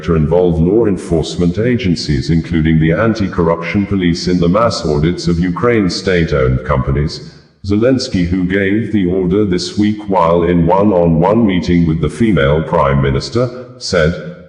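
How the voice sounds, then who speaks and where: Deep-voiced Nigerian man reading news. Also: darkish tone. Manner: formal, measured reading. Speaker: news presenter. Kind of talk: scripted news narration. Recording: roomy, echoing voice.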